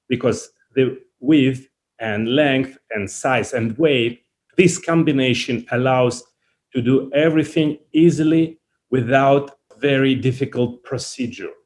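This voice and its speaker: A man with a Russian accent gives interview answers at a very unhurried pace. His English is non-native and halting in its phrasing, and his pitch sits in an average male range. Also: darkish tone. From Saint Petersburg, Russia. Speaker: interview guest, company founder.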